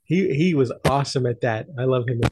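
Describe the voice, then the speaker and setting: Male, creaky and rough-voiced, medium pitch, informal group chat participant. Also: a little fast, background noise. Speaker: participant in an old-time radio discussion group. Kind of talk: casual chat.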